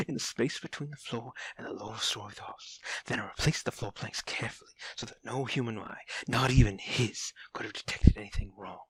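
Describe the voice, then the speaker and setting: Gravelly, unhinged murderer confessing. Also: male voice, medium pitch, no room sound, heavily acted, low-quality recording. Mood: sly, secretive. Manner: intense, swinging from breathy whispers to frantic cries. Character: mad murderer, nervous man feverishly confessing his crime. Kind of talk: theatrical dramatic monologue, gothic horror audio drama.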